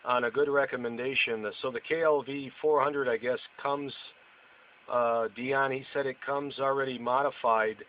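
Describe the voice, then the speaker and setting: A man's voice: medium pitch, steady tone, moderate pace. A man chatting casually over two-way radio as an operator. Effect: two-way radio transmission. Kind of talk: casual radio contact chat. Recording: noisy, muffled radio audio.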